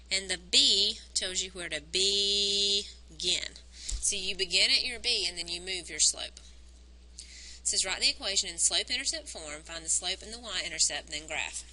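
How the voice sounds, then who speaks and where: Bright-voiced math teacher, voice strained. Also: female voice, mid-range voice, measured pace, poor audio. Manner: counting aloud step by step, patient explaining. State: strained, nearly losing her voice. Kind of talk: recorded math lesson, working problems on a graph. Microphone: phone recording.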